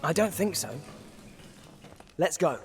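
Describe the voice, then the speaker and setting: A man's voice: a little high, lively intonation, takes it slowly. An actor playing a determined, earnest teenage boy wizard in a video game. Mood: wary. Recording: music behind the voice.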